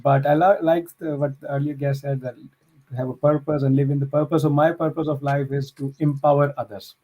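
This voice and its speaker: A man's voice: on the high side, level tone, brisk, lo-fi sound. A man, a tech entrepreneur from Pakistan, speaking as a friendly, earnest guest on a video call.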